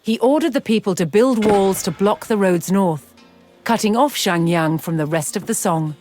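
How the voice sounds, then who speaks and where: A woman giving measured, formal scripted narration in the style of a historical documentary for a game. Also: mid-range voice, a little mellow. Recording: music behind the voice. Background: background music.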